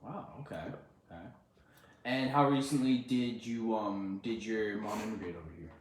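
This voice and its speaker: Low-voiced male interviewer, echoey room. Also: moderate pace, a little hiss or hum. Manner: brief acknowledgements, repeats words. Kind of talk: casual student interview.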